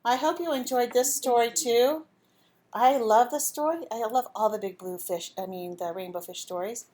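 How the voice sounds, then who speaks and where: Higher-voiced woman reading to children. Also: normal speed, a little mellow. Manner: asks listeners questions, instructs simply. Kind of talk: storybook read-aloud with asides to children.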